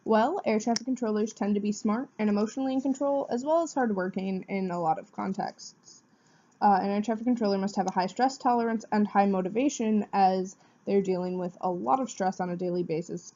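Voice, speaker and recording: A woman's voice: medium pitch, steady tone, brisk, mellow. A woman gives a prepared informative presentation in an explanatory way, posing questions and answering them. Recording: dull, muffled lo-fi sound.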